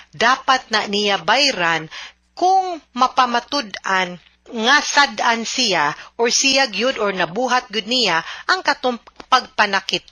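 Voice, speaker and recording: A woman answers listener questions as a legal advisor, explaining step by step. Her voice sits fairly high and sounds sharp and forceful. The recording is dry, with no room sound. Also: dry recording. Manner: explanatory, often checks understanding with a tagged "no?". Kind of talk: radio advice segment.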